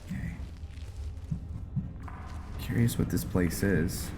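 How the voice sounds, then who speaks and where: A man giving casual commentary on a game playthrough, thinking aloud and narrating his actions in a halting way. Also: low voice, noisy background. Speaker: gaming video host. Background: loud game music.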